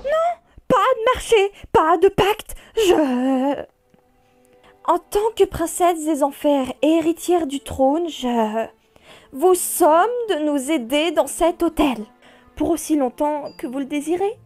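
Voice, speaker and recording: An optimistic young princess of hell voiced by a woman in a cartoon fan dub, earnest and a little pleading. She speaks at a very high pitch and takes her words slowly. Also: animated, a little mellow, faint music behind. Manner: eager, earnest, insistent.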